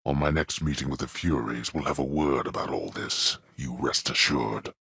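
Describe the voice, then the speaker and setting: An actor playing a stern, contemptuous god-king of the underworld in a video game, haughty and commanding, with a deep, husky voice. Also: normal speed, sharp tone, no room sound, clean studio sound, exaggerated voice. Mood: scornful.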